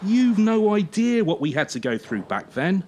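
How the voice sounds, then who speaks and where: An actor playing a harsh former champion turned gymnastics coach in a radio play, scolding with sarcasm and pointed pauses. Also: medium pitch, sing-song, darkish tone, a little hiss or hum, natural voice. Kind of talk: radio drama acting.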